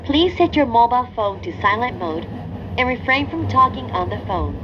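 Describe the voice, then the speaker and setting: A woman giving scripted train announcements, formal and clearly enunciated. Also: on the high side, animated, takes it slowly. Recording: dull lo-fi recording with train noise. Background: train ambience.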